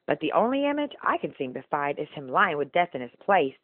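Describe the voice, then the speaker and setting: A woman's voice: low voice, very animated, brisk, no room sound, phone-quality sound. A woman performing spoken-word slam poetry in an emotional, rhythmic, rhyming delivery. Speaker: slam poet.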